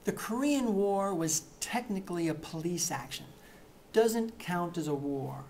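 A middle-aged man reading satirical excerpts to camera in a deadpan, mock-earnest way, in a plain indoor room. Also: high-pitched, measured pace, a little hiss or hum. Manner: mock-earnest, punchy, with gestures. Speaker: reader of satirical book excerpts.

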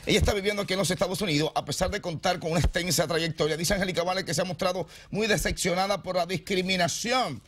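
Flamboyant high-voiced male TV gossip host. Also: fast talker, few pauses, a bit bright. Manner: playful, theatrical, teasing, sing-song delivery. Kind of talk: flamboyant morning TV gossip segment. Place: TV studio. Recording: TV broadcast with music behind.

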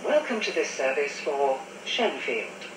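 A woman with a British accent reading formal, measured scripted train announcements with careful enunciation. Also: low voice, very animated, recorded from a distance. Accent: British English. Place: inside trains, played over announcement speakers. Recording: noisy, echoey onboard speaker recording from afar.

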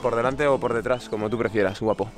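A man's voice: medium pitch, fairly even intonation, fast talker. A young man vlogging to a handheld camera outdoors in a city, joking crudely and boasting playfully. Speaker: travel vlogger. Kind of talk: casual vlog chat to camera. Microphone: handheld camera at arm's length.

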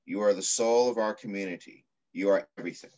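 A middle-aged man reading aloud in the style of a children's picture book, with measured, deliberate phrasing. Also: on the low side, mellow. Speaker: pediatrician reading a story aloud. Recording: muffled video call audio.

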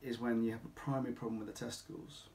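A middle-aged man speaking as a clinic doctor, explaining to camera in an emphatic, deliberate way with rhetorical questions. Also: mid-range voice, flat delivery, dark tone. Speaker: doctor at a men's health clinic. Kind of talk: informal explainer talk to camera. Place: small home office or consulting room, indoors.

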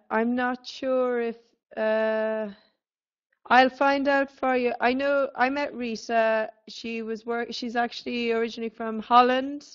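Slow, flat-voiced woman on a call. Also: a little high, smooth. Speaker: project facilitator. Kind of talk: meeting chat. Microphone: video call. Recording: dull lo-fi call audio.

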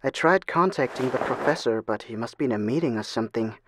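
A man's voice: mid-range voice, animated, quick pace, noisy background, natural voice. A man voicing a serious, determined young hero trainer with a grave, resolute manner, in dramatic anime style.

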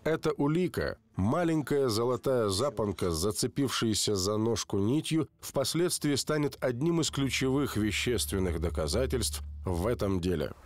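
A man reading scripted narration for a crime documentary in an even, serious tone. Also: mid-range voice, normal speed, dry recording. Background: background music.

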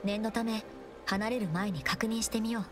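A woman voicing a young female game adventurer who thinks aloud in a measured, composed, observant way. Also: medium pitch, fairly even intonation, close to an everyday voice. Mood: calm, focused. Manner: measured, composed, quietly musing. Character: young female wanderer, calm, observant puzzle-solving explorer. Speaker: game protagonist. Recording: game music over the voice. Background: game music.

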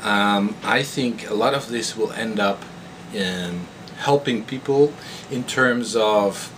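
Dutch-accented man, lowish voice, noisy room. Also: takes it slowly. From Netherlands. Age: 30s. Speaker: postdoctoral researcher. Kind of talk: informal self-introduction to camera. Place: indoors in a laboratory. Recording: clear steady room noise in lab.

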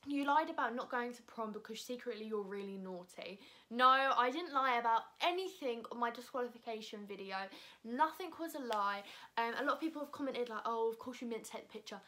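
A teenage British girl talks straight to the camera from her sofa at home. She speaks in a fairly high, chatty voice, full of "like" and "um". Her delivery is casual and animated. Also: a little fast. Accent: British English. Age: teens. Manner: chatty, animated hand gestures, frequent "like" and "um". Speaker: YouTuber. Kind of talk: casual vlog answering viewer assumptions. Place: living room, seated on a sofa indoors. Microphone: camera in front at close range.

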